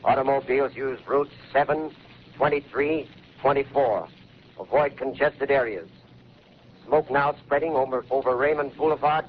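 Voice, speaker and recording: Urgent radio operator, clipped warnings, tinny old radio sound. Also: male voice, medium pitch, fairly even intonation, moderate pace, slight background noise. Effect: radio transmission. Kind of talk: 1930s radio drama acting.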